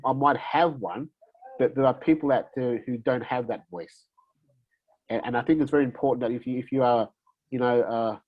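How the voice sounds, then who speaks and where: A man's voice: mid-range voice, a little mellow, highs cut off. A man from Australia, a guest in an interview, talking loosely with many fillers and restarts. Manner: rambling, many "you know" fillers and restarts. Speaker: interview guest, community advocate. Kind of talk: casual conversational interview.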